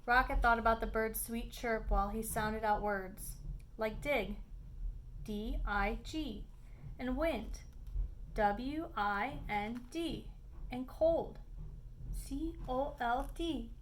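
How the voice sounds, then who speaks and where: Female storybook narrator: high voice, expressive and deliberate, faint noise in the recording. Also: low-quality recording. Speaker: storybook reader. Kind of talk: expressive read-aloud of a children's picture book.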